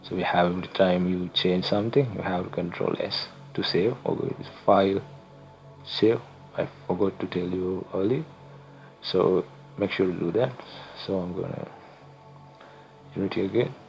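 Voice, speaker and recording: A young man from Sri Lanka whose first language is not English, hosting a screen-recorded coding tutorial in halting speech with frequent fillers and self-corrections. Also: deep voice, vocal fry, darkish tone, soft background music, poor audio. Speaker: channel host, tutorial maker.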